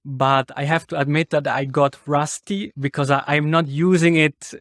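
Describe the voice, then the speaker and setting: A man's voice: a little high, steady tone, moderate pace, a bit bright, no room sound, clean studio sound. A man who grew up bilingual with Spanish, hosting a podcast interview in a conversational style. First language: bilingual from childhood, including Spanish.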